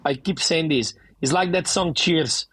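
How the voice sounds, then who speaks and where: A man's voice: fairly high pitch, unhurried, a bit bright, slightly rough. A middle-aged man from Italy with a thick Italian accent, a bar owner talking animatedly and emphatically in a casual interview, often restarting his sentences.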